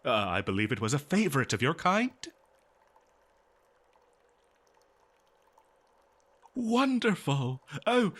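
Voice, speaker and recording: A man's voice: fairly high pitch, huge pitch swings, moderate pace, fairly bright tone, full character voice. Man playing an eager, lilting, stammering researcher. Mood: gentle, reassuring. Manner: hesitant, stammering, awestruck scholarly enthusiasm. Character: eager, kindly male archaeologist befriending a lonely monster. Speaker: audio drama performer. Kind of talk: scripted fantasy audio roleplay, one-sided dialogue to listener.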